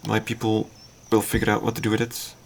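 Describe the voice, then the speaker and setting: A man whose first language is Dutch, casually talking through his game on a livestream in a halting, thinking-aloud way. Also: lowish voice, monotone, gravelly, a little hiss or hum. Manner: halting, self-deprecating, thinks aloud with fillers. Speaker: streamer.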